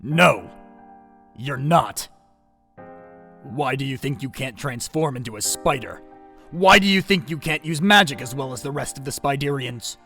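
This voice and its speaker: An actor playing a solemn, firm mentor and guardian of a kingdom in an audio drama. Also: male voice, mid-range voice, measured pace, long pauses, bright, forceful tone, soft background music. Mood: stern.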